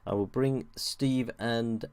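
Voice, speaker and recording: A man's voice: mid-range voice, fairly even intonation, slow, darkish tone, clear, resonant tone, dry recording. A man hosting a live online audio show, chatting casually and loosely with many ums and restarts as he brings guests on.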